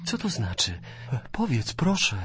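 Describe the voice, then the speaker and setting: An actor playing the bewildered, frightened mind of a newborn in a radio play, asking hesitant questions. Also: male voice, medium pitch, wildly swooping pitch, measured pace, fairly bright tone. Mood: pleading. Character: newborn's bewildered consciousness, male, fearful.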